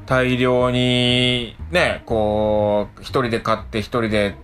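A man's voice: mid-range voice, monotone, slow pace, a bit bright. A man co-hosting a radio show in casual chat, often trailing off mid-phrase and seeking agreement. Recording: background music under the voice. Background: music.

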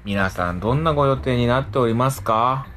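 A man co-hosting a radio show, chatting casually and colloquially, quick to agree and react. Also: mid-range voice, fairly bright tone, soft background music.

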